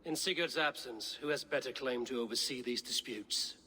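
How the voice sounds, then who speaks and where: An actor playing a calm, authoritative Viking leader in a video game, speaking in a measured, commanding way. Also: male voice, mid-range voice, breathy edge, poor audio. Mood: firm, measured. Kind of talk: dramatic video game acting.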